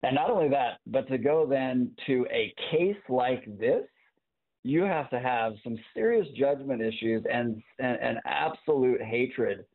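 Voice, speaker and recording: A man giving unscripted legal analysis as an interview guest over a thin phone-like line, in a halting, deliberate way. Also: mid-range voice. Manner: halting, deliberate, repeats words as he goes. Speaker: guest legal commentator, former prosecutor.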